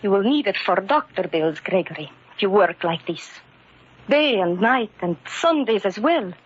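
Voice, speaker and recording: An actress playing a worried, gently scolding immigrant wife, speaking formally in old-time radio drama style. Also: female voice, mid-range voice, expressive, moderate pace, husky. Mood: worried, reproachful. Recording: muffled, noisy old radio recording.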